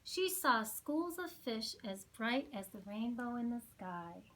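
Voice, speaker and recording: Woman, picture-book read-aloud, high voice, very slow, expressive, drawn-out phrasing. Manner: drawn-out, dramatic phrasing with little asides. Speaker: children's storytime reader.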